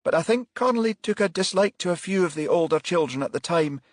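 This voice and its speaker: A man's voice: high-pitched, expressive, fast talker, dry recording, clean studio sound, pitched above the performer's own voice. A man voicing a distressed, pious woman witness in an audiobook, grave and pleading.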